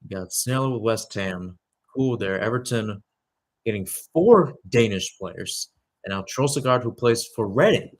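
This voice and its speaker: A man's voice: lowish voice, expressive, unhurried. Young man calling live soccer play-by-play. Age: young adult. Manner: conversational asides between clipped calls of the play. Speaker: play-by-play commentator. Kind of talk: live radio soccer commentary.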